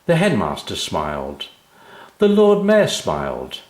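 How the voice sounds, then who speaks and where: A man reading a scripted children's story as narrator, in an expressive and deliberate storytelling manner. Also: low-pitched, reverberant. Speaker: author reading his own story.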